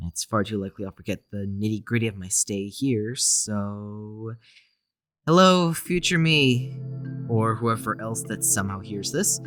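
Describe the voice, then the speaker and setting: A man's voice: fairly low pitch, measured pace, fairly bright tone, faint music behind. A man playing a tired, withdrawn young psychiatric patient in an audio drama, speaking in an anxious, subdued, hesitant way. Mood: hesitant, resigned.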